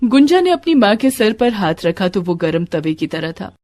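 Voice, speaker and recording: A woman's voice: mid-range voice, sing-song, moderate pace, darkish tone. A woman narrating a scripted devotional story as a storyteller.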